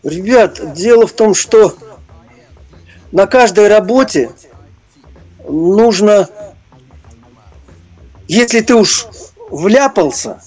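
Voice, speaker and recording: An older man reminiscing in an interview, speaking haltingly and searching for his words. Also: high voice, lively intonation, a little mellow, soft background music, poor audio. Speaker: interview guest, retired prison-service officer and former stage performer.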